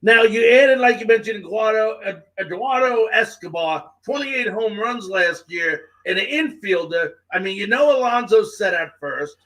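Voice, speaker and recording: A man's voice: high voice, lively intonation, normal speed, hardly any pauses, fairly bright tone, poor audio. A man hosting a sports show, putting questions to his guest in an interview style.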